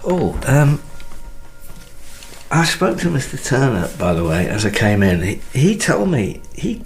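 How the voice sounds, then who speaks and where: A man acting a drunk, anxious husband in a radio thriller, slurred and gravelly, rambling through halting questions and suspicions. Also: medium pitch, sing-song, faint music behind. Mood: drunk, incredulous. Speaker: actor in an audio drama.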